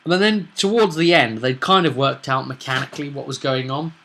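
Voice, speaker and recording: Man with a bright, forceful voice, chatting casually. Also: mid-range voice, brisk, vocal fry, a little hiss or hum. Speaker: game master presenting own session recap. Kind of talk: casual unscripted commentary on a tabletop roleplay game.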